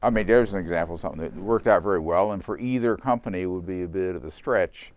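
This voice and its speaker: An older man with a very deep voice speaks as a company founder being interviewed. His delivery is reflective and unhurried, broken by pauses as he thinks. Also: darkish tone, no room sound.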